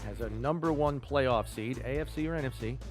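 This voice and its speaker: A man's voice: medium pitch, fairly quick, faint music behind. A man hosting a sports podcast, talking and quizzing with an emphatic delivery. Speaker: podcast host. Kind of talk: sports talk and trivia quiz.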